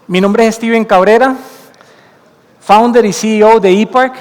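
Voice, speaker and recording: A man with a Colombian accent giving an earnest conference talk, explaining his points and slowing down to stress the key ones. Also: sits high, steady tone, darkish tone. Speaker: conference speaker. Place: conference hall stage. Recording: long hall reverb from a conference room.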